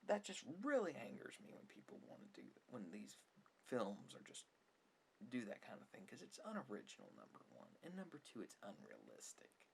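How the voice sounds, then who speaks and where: Indignant man ranting softly, widely swinging pitch. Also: huge pitch swings, moderate pace, gravelly. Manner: indignant, sarcastic, emphatic complaining. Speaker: video host, film commentator. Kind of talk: ASMR opinion monologue on films. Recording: faint background noise.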